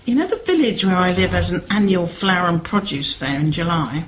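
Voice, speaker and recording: A woman plays a chatty, concerned village woman in an amateur radio drama. Her voice sits slightly low and swings widely in pitch as she gossips and persuades. A clear layer of background noise runs underneath. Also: expressive, fairly quick, close to an everyday voice, poor audio. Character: Martha, chatty, concerned village woman, sister seeking help. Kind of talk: amateur radio play acting.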